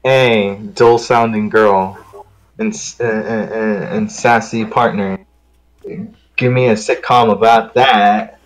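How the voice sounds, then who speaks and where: A man's voice: fairly low pitch, steady tone, slow pace. A man chatting casually and jokingly with friends during a group read-along, rambling a little. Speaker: streamer reading a visual novel aloud with friends.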